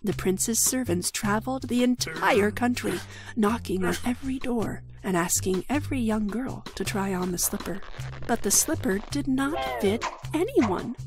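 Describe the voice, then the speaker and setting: A woman's voice: on the high side, expressive, moderate pace, music in the background. A woman with an American accent narrating a scripted fairy tale for children. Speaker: storyteller.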